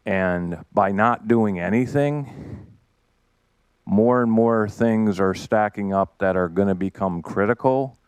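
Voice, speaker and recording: A man with a very low, smooth voice makes his points slowly and deliberately on a conference panel. The sound is dry and close. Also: dry recording.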